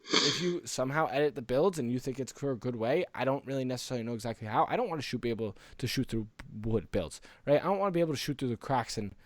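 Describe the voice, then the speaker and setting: A man's voice: mid-range voice, animated, fast, dry recording. A man co-hosting a casual gaming podcast, talking in a conversational, opinionated way as he explains his views. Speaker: podcast co-host.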